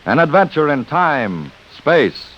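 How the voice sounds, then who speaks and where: A man's voice: on the high side, big pitch swings, slow pace, slightly rough, lo-fi sound. A man announcing in the formal style of a 1950s network radio program. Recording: band-limited 1950 radio, music behind. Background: music.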